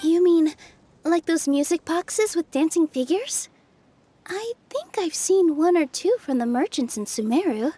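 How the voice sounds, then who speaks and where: An actress playing a gentle, polite and earnest young woman in a video game. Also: very high-pitched, lively intonation, measured pace. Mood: curious. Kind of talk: English video game voice acting.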